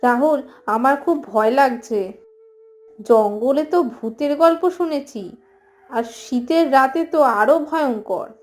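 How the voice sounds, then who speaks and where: A woman's voice: high-pitched, takes it slowly, soft background music. A woman playing a frightened, reproachful little village girl in a cartoon moral story. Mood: scared.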